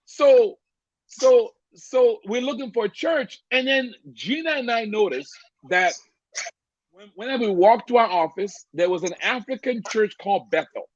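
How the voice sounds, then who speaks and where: A man from Cameroon sharing a personal faith testimony as a podcast guest, animated, stumbling over repeated words and laughing often. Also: very high-pitched, measured pace, dry recording. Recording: dry, slightly dull lo-fi audio.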